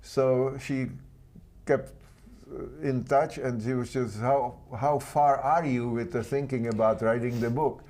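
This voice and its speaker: Czech-accented male guest, earnest storyteller, non-native phrasing, average pitch. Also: fairly even intonation, unhurried, a little mellow. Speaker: guest author. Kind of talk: interview storytelling.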